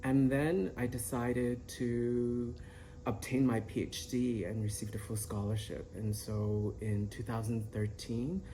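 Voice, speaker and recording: A man in his fifties, born in the Philippines, introducing himself as a professor and choreographer in a measured way with small restarts. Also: mid-range voice, flat delivery, dark tone, slight background noise, poor audio. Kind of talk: self-introduction to camera.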